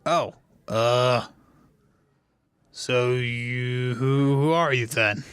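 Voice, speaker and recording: A man's voice: medium pitch, fairly even intonation, very slow, a bit bright, clear, resonant tone. Man playing a hesitant, casual armored soldier. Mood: puzzled.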